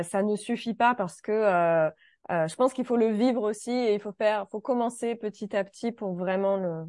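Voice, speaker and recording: A woman's voice: mid-range voice, a little mellow, no echo, clean studio sound. A woman hosting a casual conversation about learning French, thoughtful and searching for her words with light laughs.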